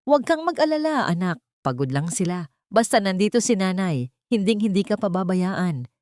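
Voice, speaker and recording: A woman's voice: mid-range voice, very animated, normal speed, darkish tone, no room sound, studio quality, close to an everyday voice. A woman voicing a tender, protective mother. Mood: tender reassurance. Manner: tender, soothing. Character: gentle, reassuring mother comforting her child.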